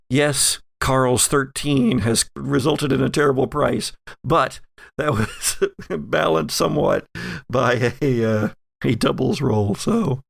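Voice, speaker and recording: A man narrating an improvised horror tabletop game as game master, with dramatic pauses and searching phrasing. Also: mid-range voice, vocal fry, dry recording, clean studio sound.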